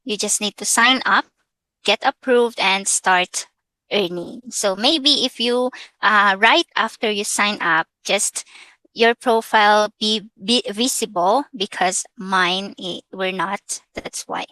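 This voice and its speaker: Filipina woman, filipino accent, tagalog native, slow unhurried delivery, bright voice, hesitant casual tutorial talk. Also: medium pitch, no echo, poor audio. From Philippines. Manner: hesitant, mixing English with Tagalog, frequent fillers. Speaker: vlogger. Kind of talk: casual screen-share tutorial.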